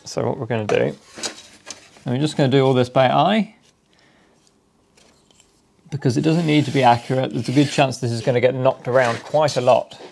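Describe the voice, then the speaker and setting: Man, mid pitch, gravelly, casual how-to narration, home workshop. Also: moderate pace, pauses often, a little hiss or hum. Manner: relaxed, practical, thinking aloud step by step. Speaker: DIY workshop host. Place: cluttered home workshop, indoors.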